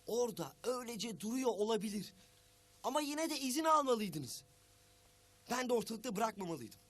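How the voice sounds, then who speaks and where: A man's voice: very high voice, very animated, moderate pace, a bit bright, full character voice. A man voicing a stern but caring guardian in a cartoon, speaking in an admonishing, emphatic way. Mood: firm, concerned. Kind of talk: cartoon voice acting.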